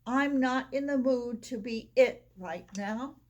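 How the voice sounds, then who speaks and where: A woman's voice: fairly high pitch, takes it slowly. A woman voicing a mopey, self-doubting male pig from a children's storybook, glum and plaintive. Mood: moping.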